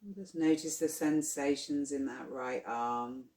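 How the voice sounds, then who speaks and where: Slow, roomy-sounding yoga nidra guide. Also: medium pitch. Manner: soothing, unhurried, gently instructing. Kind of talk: guided relaxation meditation.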